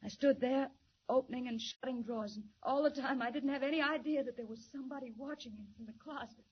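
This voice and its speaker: A woman's voice: high-pitched, fast, slight background noise, close to an everyday voice. A woman playing a frightened but defiant young secretary in an old 1940s radio drama, speaking with emphatic, breathless urgency. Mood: anxious, urgent. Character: frightened, defiant young secretary in hospital, telling her story. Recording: dull muffled 1940s radio recording.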